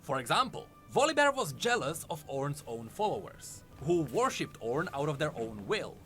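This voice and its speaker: A male narrator delivers scripted fantasy lore in storytelling fashion. His voice sits a little high and moves in a very animated way, with light music behind it. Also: moderate pace. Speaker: narrator of a game lore video. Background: background music under the voice.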